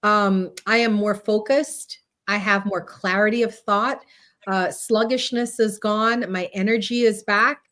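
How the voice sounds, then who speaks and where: A woman's voice: mid-range voice, steady tone, measured pace, fairly bright tone. A woman from Guyana hosting a casual group discussion online, speaking informally to co-panelists and listeners. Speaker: host of an online panel talk.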